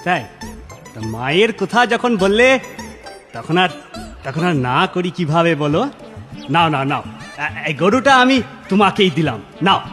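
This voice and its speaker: A man's voice: high-pitched, big pitch swings, unhurried, long pauses, a bit bright, big-room sound, soft background music, full character voice. A man voicing a sly, mocking cow seller in a fairy-tale cartoon, with an exaggerated, repetitive way of talking. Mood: feigned kindness. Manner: exaggerated, repeating phrases.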